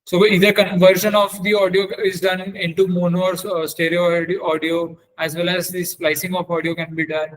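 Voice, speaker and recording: A man teaching in a webinar, demonstrating software step by step and often halting to search for words. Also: high-pitched, little pitch movement, rough recording. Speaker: webinar trainer.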